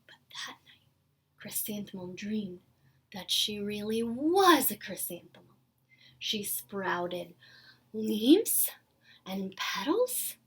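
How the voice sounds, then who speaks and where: A woman teacher reading a storybook aloud to young children, expressive and deliberate, often breathy and near a whisper. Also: medium pitch. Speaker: kindergarten teacher.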